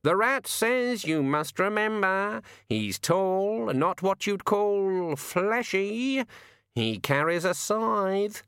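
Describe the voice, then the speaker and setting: A man's voice: high-pitched, lively intonation, slow, a bit bright, clear, resonant tone, no room sound, studio quality, pitched above the performer's own voice, full character voice. Man voicing a sardonic talking raven. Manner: raised, sardonic and chatty.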